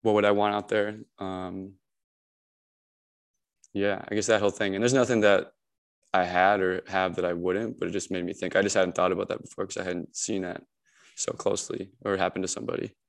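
A man's voice: low voice, level tone, fairly quick, gravelly. A young man hosting a podcast, talking casually and hesitantly with many fillers. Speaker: podcast host. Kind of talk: casual conversation.

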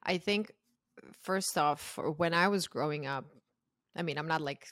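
Low-voiced woman in her thirties, from Croatia. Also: measured pace, fairly bright tone, dry recording, clean studio sound. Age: 30s. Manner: open, reflective, laughs easily. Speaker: podcast guest. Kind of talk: casual interview chat.

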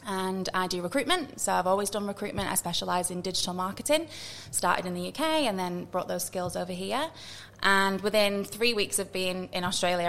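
Bubbly Manchester woman with a gravelly edge. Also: lowish voice, a bit bright, slight background noise. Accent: Northern English (Manchester). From Manchester, England. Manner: chatty, bubbly, quick asides. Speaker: podcast guest, recruiter. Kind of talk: casual interview chat.

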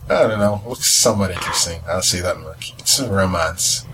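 A man's voice: sits low, fairly quick, noisy background. A man chatting casually as a podcast host, musing hesitantly as he goes. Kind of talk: casual chat.

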